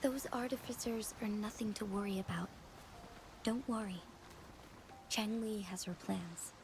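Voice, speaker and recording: A woman voicing an earnest, reassuring young female companion in a video game, with a gentle manner. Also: mid-range voice, fairly even intonation, a little slow, natural voice. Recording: clear background ambient noise.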